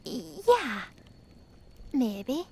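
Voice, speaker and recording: A woman's voice: sits high, very animated, extremely slow, gravelly, darkish tone, slight background noise, heavily acted. A woman playing a childlike, earnest young female character in an audio drama, speaking hesitantly. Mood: sheepish.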